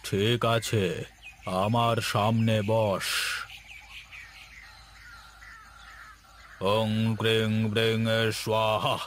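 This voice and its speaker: A man's voice: on the low side, level tone, a bit bright, a little hiss or hum. A man voicing a stern, commanding guru in a cartoon, speaking solemnly. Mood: ritual, solemn. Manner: solemn, commanding, slow, chanting mantras at times. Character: stern holy man, a guru laying down rules. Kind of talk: cartoon voice acting.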